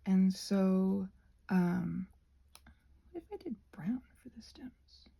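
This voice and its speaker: Husky, very creaky woman rambling casually. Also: medium pitch, dark tone. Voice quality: husky, often dropping to a near whisper. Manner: halting, meandering, self-deprecating, searching for words. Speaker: ASMR channel host. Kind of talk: casual rambling chat while crafting.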